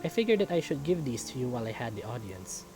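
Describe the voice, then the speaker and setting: A man's voice: mid-range voice, very animated, quick pace, music in the background, lightly acted. A man voicing a visiting envoy who is polite and deferential, speaking with formal courtesy. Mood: businesslike.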